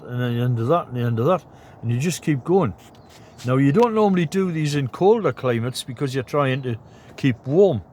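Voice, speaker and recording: An older man in his seventies chats to his vlog audience from inside a roomy cabin. His talk is loose and rambling, full of ums and the odd throat clear, at an ordinary middle pitch. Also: a little mellow. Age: 70s. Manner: rambling, chatty, many fillers and throat clearing. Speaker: vlogger. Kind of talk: casual vlog talk to camera. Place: inside a large wooden cabin.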